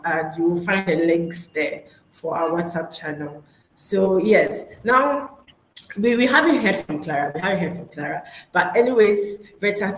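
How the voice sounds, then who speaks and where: A woman hosting a live online webinar, upbeat, repeating her key points and laughing easily. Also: fairly low pitch. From Ghana. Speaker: webinar host. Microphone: online call mic. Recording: echoey room, faint noise.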